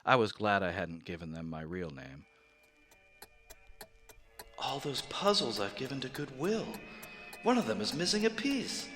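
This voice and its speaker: A man's voice: fairly low pitch, sing-song, unhurried, long pauses, a bit bright, soft background music. A man narrating his own story in a radio drama, anxious and growing obsessive.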